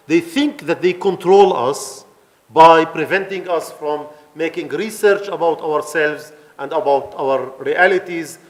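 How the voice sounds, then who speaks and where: A man from the Palestinian community inside Israel, giving a passionate conference talk with fillers and restarts. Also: a little high. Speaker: guest speaker at a conference. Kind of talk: public talk with questions and answers. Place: event hall in Italy.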